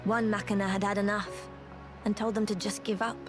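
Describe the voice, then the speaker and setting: A woman playing a patient, sincere townswoman in a video game, explaining things politely and gently. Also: mid-range voice, flat delivery, a little fast, music in the background, lightly acted. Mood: solemn, explaining. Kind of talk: video game cutscene acting.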